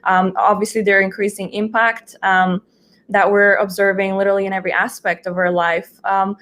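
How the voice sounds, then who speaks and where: A woman's voice: medium pitch, flat delivery, moderate pace, a bit bright. Young woman, conversational guest speaker. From Bulgaria. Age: 20s. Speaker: guest, junior research analyst. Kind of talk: conversational account of own work.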